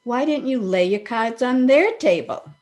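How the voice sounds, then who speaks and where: A woman's voice: on the high side, animated, normal speed, a little mellow, close to an everyday voice. Woman playing wry, proud jilted sweetheart. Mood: pointed, suspicious. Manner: dry, pointed. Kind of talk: old-time radio comedy acting.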